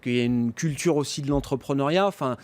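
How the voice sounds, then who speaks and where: A man's voice: mid-range voice, fast, a little mellow. A man hosting a business news interview in a conversational style, phrasing his questions loosely and at length.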